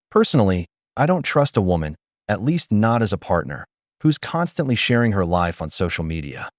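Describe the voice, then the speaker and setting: A man's voice: lowish voice, no room sound, clean studio sound. A man giving opinionated voice-over commentary that criticizes what he is reacting to.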